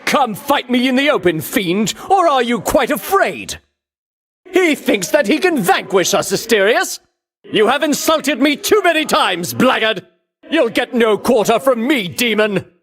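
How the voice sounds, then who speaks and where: Vainglorious male game champion: scornful, grandiose, slow declamatory delivery with sweeping, animated intonation. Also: extremely high pitch, full character voice. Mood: scornful, boastful. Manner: grandiose, declamatory, archaic heroic diction. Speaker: voice actor. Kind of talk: theatrical video game battle lines.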